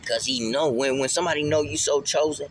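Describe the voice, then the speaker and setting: A man's voice: fairly high pitch, fairly even intonation, fast, noisy background. A man speaking emphatically in street slang in a casual spiritual vlog monologue, often repeating phrases for emphasis. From Puerto Rican heritage. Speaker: vlogger.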